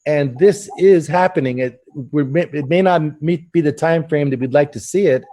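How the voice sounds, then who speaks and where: A man hosting a relaxed conversation, his voice a touch high and mellow. He talks earnestly, often restarting and repeating words as he gathers his thoughts. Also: moderate pace. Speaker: host. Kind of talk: casual interview chat.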